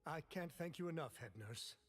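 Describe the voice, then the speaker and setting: A man's voice: on the high side, lively intonation, a little fast, slightly rough, soft background music. A man voicing a weary, overworked worker in a video game, hesitant and apologetic. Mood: apologetic, grateful. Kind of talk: video game character acting.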